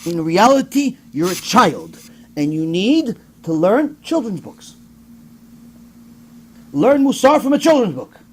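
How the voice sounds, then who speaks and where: Man, rabbi, informal sermon, very high voice, raspy edge, emphatic, rhetorical questions, acted-out dialogue. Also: very animated, slow, long pauses, poor audio. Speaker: rabbi giving a religious lecture. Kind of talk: informal sermon with personal anecdotes.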